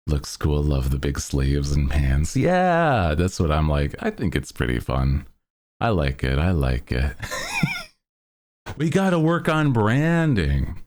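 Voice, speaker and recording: A man's voice: deep voice, a little mellow. A man hosting a livestream, chatting casually with viewers in a rambling, jokey, self-deprecating way. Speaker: VTuber livestream host. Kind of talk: casual chat with stream viewers.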